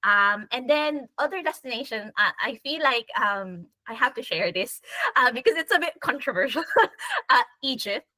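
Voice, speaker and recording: A woman's voice: on the high side, expressive, normal speed, sharp tone, dry recording. A young adult woman chatting casually as a panel guest, laughing often and saying "like" a lot. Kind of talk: casual chat.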